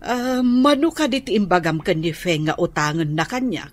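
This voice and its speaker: A breathy, nagging aunt. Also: female voice, on the low side, lively intonation, moderate pace. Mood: reproachful. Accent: Ilocano.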